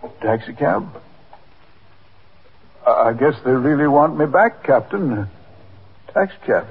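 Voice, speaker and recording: Gravelly, dejected old father. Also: mid-range voice, lively intonation, full character voice. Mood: relenting, touched. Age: older. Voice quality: gravelly, husky. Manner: halting, apologetic, repeats himself. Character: dejected, self-effacing old father who feels a burden. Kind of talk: 1950s radio drama acting. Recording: muffled narrow-band 1950s radio recording.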